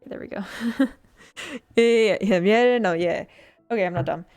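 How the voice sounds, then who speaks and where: A woman chats casually as she builds a model kit, drifting between thoughts with plenty of fillers and self-mocking giggles. Her voice sits at an ordinary middle pitch. She sometimes turns away from the desk mic, and soft music plays faintly behind her. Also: moderate pace, clean tone. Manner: rambling, filler-heavy, giggly, self-deprecating. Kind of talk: casual chat while building, reading chat.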